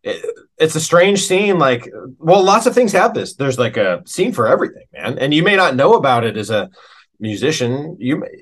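A man's voice: on the high side, big pitch swings, normal speed, a bit bright. An American man talking as an interview guest, a working musician speaking in a casual, reflective and candid way, often informal. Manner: informal and candid, with frequent "man" and "you know".

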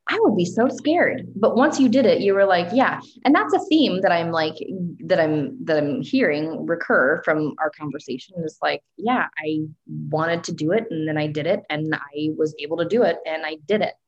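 Lowish-voiced woman interviewer, slightly dull sound. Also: sing-song, normal speed. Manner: encouraging, effusive. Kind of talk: casual conversational interview.

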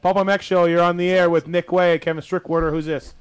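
Brief: thirties man, radio show host, slightly high voice, joking banter, dry studio sound. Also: flat delivery, fast talker, clear, resonant tone, dry recording. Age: 30s.

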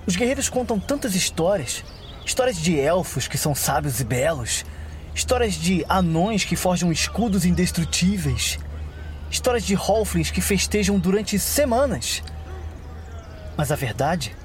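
Um ator interpretando um jovem guerreiro idealista e sonhador num audiodrama de fantasia, com fala melancólica e sincera. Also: male voice, high-pitched, animated, measured pace, a little hiss or hum. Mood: wistful. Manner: wistful, earnest, theatrical. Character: young idealistic dreamer warrior yearning to see the world. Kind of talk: fantasy audio drama acting. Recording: ambient sound effects behind.

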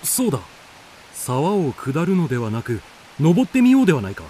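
A bold, animated Heian warrior. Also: male voice, fairly high pitch, normal speed, a little hiss or hum. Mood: pensive, alert. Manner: resolute, archaic samurai phrasing. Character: bold, stubborn, curious young warrior of Heian Japan. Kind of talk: radio drama acting.

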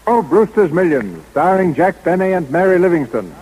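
A man's voice: high-pitched, sing-song, moderate pace, darkish tone, slight background noise, poor audio. A man announcing and narrating a scripted 1930s radio drama. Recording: 1930s radio broadcast recording. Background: prominent music.

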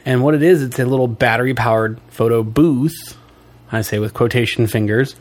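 A man's voice: mid-range voice, moderate pace. Wheezy man chatting on a podcast. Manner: joking, chuckles often, rambling explanations. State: wheezy from allergies. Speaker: podcast co-host. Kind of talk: casual podcast chat.

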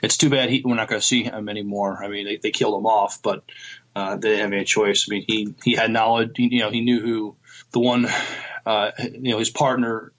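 Guy chatting on a podcast, lowish voice, kinda halting, thinks as he goes. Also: little pitch movement, fairly bright tone, low-quality recording. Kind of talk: casual TV review chat.

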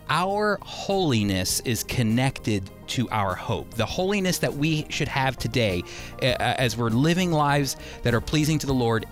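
A man teaching from the Bible as a radio host, conversational, earnest and emphatic. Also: medium pitch.

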